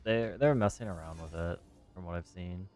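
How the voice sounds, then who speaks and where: A man chatting calmly and matter-of-factly with friends during a game stream. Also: low voice, moderate pace, faint music behind. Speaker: streamer's partner. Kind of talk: casual chat while gaming.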